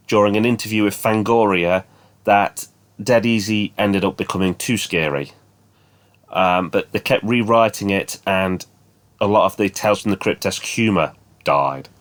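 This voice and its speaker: A man's voice: on the low side, no room sound. A man presenting an enthusiastic fan update as a video host, speaking in an earnest way.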